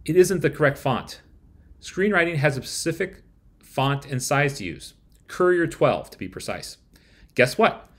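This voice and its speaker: Man in his forties reviewing software. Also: fairly high pitch, animated, unhurried. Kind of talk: scripted review narration to camera. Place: small room at home, indoors.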